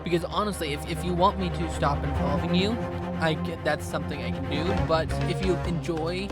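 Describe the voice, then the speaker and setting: A woman's voice: deep voice, moderate pace. A young woman streamer giving chatty, rambling commentary while playing a game. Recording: loud game music behind.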